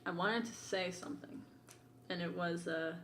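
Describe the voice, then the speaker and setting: A woman's voice: on the low side, normal speed, fairly bright tone. A woman co-hosting a podcast in casual chat, informal and teasing, with loose, sweary phrasing. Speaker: podcast co-host. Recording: roomy, echoing voice recording.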